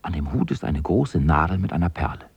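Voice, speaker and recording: A man narrating a radio drama as a storyteller, with a gravelly, husky voice. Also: sits low, very animated, brisk, dry recording, lo-fi sound.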